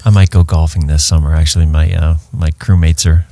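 A middle-aged man co-hosting a podcast in an easygoing, casual chat, often laughing softly. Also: very deep voice, level tone, brisk, dry recording. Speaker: podcast co-host.

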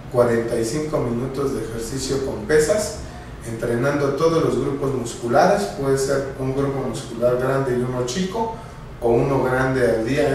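A man's voice: mid-range voice, recorded from a distance, slight background noise. A man, a clinic director seated at his desk earnestly giving viewers nutrition advice straight to camera. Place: bright office, seated at a desk. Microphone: camera at a distance. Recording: very echoey room, mic from afar.

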